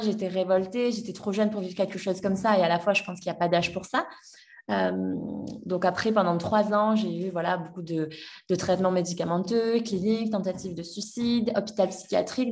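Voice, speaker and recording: A woman's voice: mid-range voice, a little mellow. A woman in her twenties telling her personal story as a podcast guest, hesitant, with many fillers and restarts. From France. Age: 20s. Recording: voice a bit distant from the mic.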